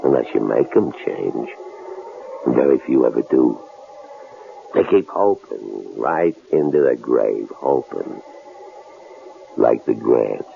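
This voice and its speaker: A mocking, tough-talking killer from a radio play. The voice is extremely low and full of gravel, swinging widely as it taunts and needles. It comes through a thin, noisy old radio recording. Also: male voice, expressive, slow, pauses often, phone-quality sound, heavily acted. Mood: needling, bitter. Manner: taunting, folksy, needling questions. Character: menacing male killer intruder, mocking, coolly philosophical, tough-talking. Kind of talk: radio drama acting.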